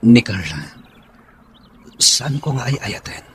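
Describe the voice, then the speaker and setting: A man's voice: medium pitch, very animated, slow pace, slight background noise. A man with a Filipino accent playing an earnest, lovelorn young suitor in a radio drama. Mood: pleading. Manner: earnest, pleading. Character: lovelorn young man pleading with his sweetheart. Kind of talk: Ilocano radio drama acting.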